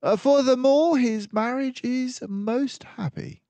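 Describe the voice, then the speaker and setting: A man's voice: extremely high pitch, takes it slowly, dark tone, dry recording, pitched above the performer's own voice, heavily acted. A man voicing a self-assured mouse detective from a storybook, formal and precise as he explains. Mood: confident, explaining. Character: confident, precise male mouse detective, lecturing and brisk.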